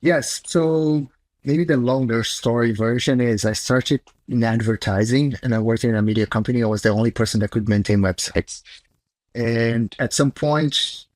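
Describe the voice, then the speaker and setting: A man's voice: medium pitch, normal speed, a little mellow, no room sound. Blunt Brazilian-accented man on a video call. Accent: Brazilian Portuguese. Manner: candid, blunt, loose non-native phrasing. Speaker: chief technology officer guest. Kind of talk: casual conversational interview. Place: small home office, indoors.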